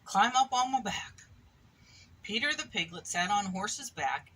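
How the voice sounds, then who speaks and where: Mother reading storybook: bright female voice, expressive, chatty asides to child, noticeable background noise. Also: mid-range voice, low-quality recording. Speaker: mother reading a picture book aloud. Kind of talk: animated storybook read-aloud to a child.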